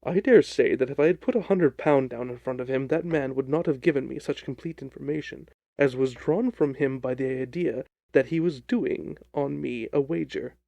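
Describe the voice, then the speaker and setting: Gentleman detective, shrewd and self-assured, measured phrasing with gravelly fry read by a male narrator for a classic storybook. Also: medium pitch, dry recording, dull audio, lightly acted. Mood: composed, amused.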